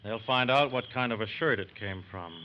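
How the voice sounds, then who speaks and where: An actor playing a brisk, methodical FBI agent with clipped delivery in a 1940s radio drama. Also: male voice, mid-range voice, close to an everyday voice. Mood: intent. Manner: businesslike, clipped. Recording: muffled, noisy old radio recording.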